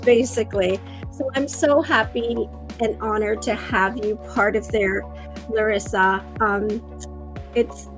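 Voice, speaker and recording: A woman hosts a live online interview in a bright, high voice. She is bubbly and effusive, often pausing with ums. Faint music plays in the background. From Canada. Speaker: host. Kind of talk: livestream interview.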